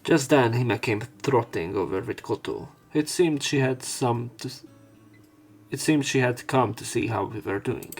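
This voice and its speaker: A man's voice: mid-range voice, a little slow, breathy edge, faint music behind. A man narrating a visual novel let's play, reading the story text aloud with commentary.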